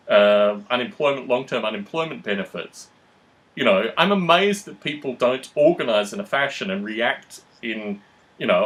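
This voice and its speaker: A man giving opinionated political talk as a podcast host, in a deliberate, wordy manner with formal phrasing. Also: mid-range voice, a bit bright, low-quality recording.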